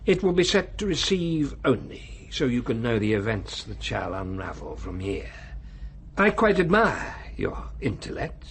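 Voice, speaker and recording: Radio drama villain: powerful, urbane, condescending lecturer, mid-pitched and highly animated, slight background noise. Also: male voice, unhurried. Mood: quietly menacing. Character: male world ruler, urbane, coolly cynical, menacing authority.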